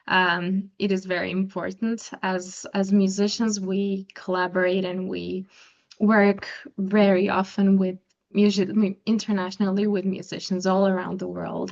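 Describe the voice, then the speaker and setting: Young woman, from Croatia, native Croatian speaker, soft-spoken, hesitant ums, dull lo-fi recording. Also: medium pitch, vocal fry. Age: young adult. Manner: soft-spoken, careful, with frequent ums and restarts. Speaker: interview guest, pianist. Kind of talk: interview answers.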